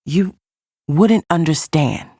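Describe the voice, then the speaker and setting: A woman's voice: sits low, slow pace, warm tone, breathy edge, no room sound, clean studio sound, full character voice. A woman voicing an earnest, determined young male lion prince in a children's storybook. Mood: troubled. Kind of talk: storybook hero voice.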